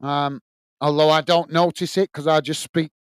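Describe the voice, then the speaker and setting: A man's voice: fairly high pitch, little pitch movement, normal speed, smooth, no room sound, studio quality. A man with a strong Lancashire accent hosting a live football watch-along, calling the play and reading out viewer comments in a chatty way with lots of "uh"s. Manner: chatty, reads out chat messages with filler "uh".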